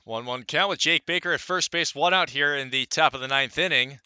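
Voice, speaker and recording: A male radio play-by-play announcer calls a baseball game. His voice is very bright and forceful, clean and resonant, sitting a little high for a man. He delivers each call in deliberate, measured phrases with pauses between them. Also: clear, resonant tone, no room sound. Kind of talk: live radio sports commentary.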